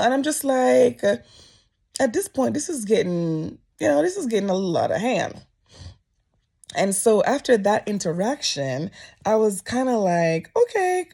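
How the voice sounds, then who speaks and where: A Jamaican woman chats straight to camera and tells a story with animated energy. Her voice has a gravelly fry at the bottom and leaps higher whenever she quotes people. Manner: animated storyteller, voice jumping up when quoting people. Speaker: vlogger. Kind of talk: casual storytime chat to camera. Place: office.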